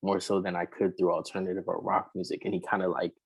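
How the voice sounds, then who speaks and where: A man, a rapper and singer, talking casually in an interview, relaxed and rambling with many fillers. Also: low-pitched, quick pace, creaky, husky.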